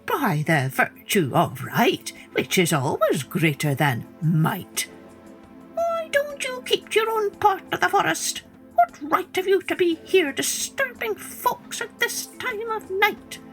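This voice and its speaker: A woman's voice: extremely high pitch, huge pitch swings, slow pace, faint music behind, pitched above the performer's own voice, full character voice. A woman voicing a tiny, defiant gnat, cheeky and indignant, in a storybook tale.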